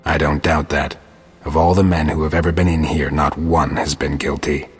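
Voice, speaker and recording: A man playing a gruff, sardonic, world-weary monster hunter in a video game, with a gravelly rasp and a dry, terse delivery. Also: extremely low pitch, level tone, normal speed, in a large hall, heavily acted. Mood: deadpan.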